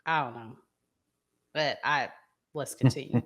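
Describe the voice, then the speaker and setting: A middle-aged woman hosting a casual talk show, chatting in a halting way with pauses. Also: very deep voice. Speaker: show host.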